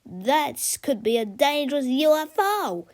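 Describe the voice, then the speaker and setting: Woman voicing a pompous little superhero, pitched high. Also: very animated, unhurried, a little mellow, exaggerated voice. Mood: earnest, grave. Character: pompous, earnest, clueless boy superhero. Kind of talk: children's storybook read-aloud.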